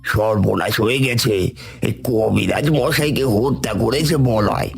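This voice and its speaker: A man playing an urgent, insistent village informer in a cartoon, with a gravelly voice. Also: mid-range voice, measured pace, soft background music. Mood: alarmed. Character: alarmed village informer accusing others of murder. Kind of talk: Bengali cartoon voice acting.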